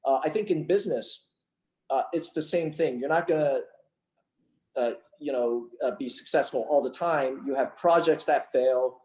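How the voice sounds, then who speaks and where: A man from Taiwan speaks at a middle pitch, answering interview questions steadily. He pauses often and restarts his sentences. His voice carries a noticeable room echo. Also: a little mellow. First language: not English. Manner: measured, many fillers and restarts. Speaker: sports team owner, guest.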